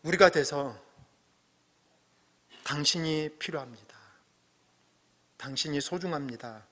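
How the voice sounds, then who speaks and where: A middle-aged man preaching a sermon as pastor, earnest, swelling to emphatic peaks and dropping to soft asides. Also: fairly high pitch, slow pace. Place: church sanctuary. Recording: long hall reverb.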